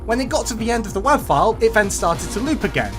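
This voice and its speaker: A woman presenting a step-by-step coding tutorial in a clear, instructional way. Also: a little high, big pitch swings, quick pace, music in the background.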